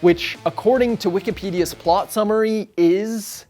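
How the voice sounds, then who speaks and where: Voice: mid-range voice, moderate pace, a little mellow. A narrator reading a scripted video essay in a casual, conversational way. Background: background music.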